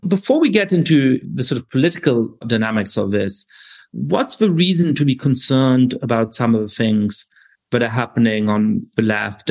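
A male podcast host speaks in a measured, thoughtful way. He poses long, carefully framed questions to his guests. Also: medium pitch. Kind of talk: podcast interview.

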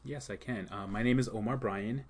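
A man born in Jamaica with a fairly low voice and no strong accent, talking in a relaxed podcast interview. He speaks softly and thoughtfully, filling pauses with ums as he searches for words. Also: slightly rough. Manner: soft-spoken, many ums, thoughtful pauses. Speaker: school leader and art teacher.

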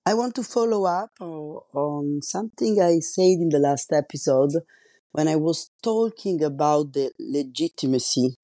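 Slow-talking Italian-accented man. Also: fairly high pitch, dark tone, dry recording. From Italy. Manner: slow, careful, searching for words. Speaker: podcast host. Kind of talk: solo podcast monologue.

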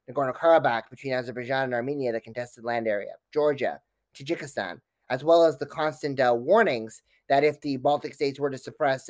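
Academic guy answering interview questions, long wordy analytical sentences, emphatic, mid pitch, no room echo. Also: darkish tone. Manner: articulate, emphatic, long densely detailed sentences. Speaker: academic guest, foreign policy expert.

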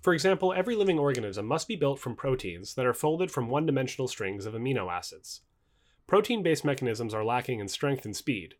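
A male narrator reads formal non-fiction prose like an audiobook. His pitch moves in a lively way and he keeps up a quick pace, while each phrase stays clearly enunciated. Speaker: reader of a non-fiction book. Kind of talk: scripted audiobook-style narration.

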